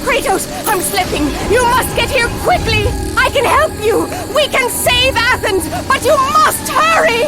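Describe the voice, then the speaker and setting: A woman's voice: extremely high pitch, measured pace, few pauses, rough recording. An actress voicing a desperate young woman in peril, crying out for rescue with frantic, pleading cries. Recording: loud music and crowd behind.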